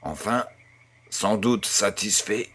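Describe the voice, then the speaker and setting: Man acting a gentle grandfather, low husky voice, slow measured delivery, audio storybook narration. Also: heavily acted. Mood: storytelling, wondering. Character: kindly old grandfather telling a bedtime tale.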